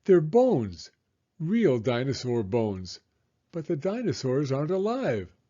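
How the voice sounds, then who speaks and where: A man's voice: medium pitch, huge pitch swings, slow pace, mellow, muffled recording, pitched above the performer's own voice, exaggerated voice. A man voicing a timid, curious young boy turtle with a hesitant, anxious manner in a storybook. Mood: surprised relief.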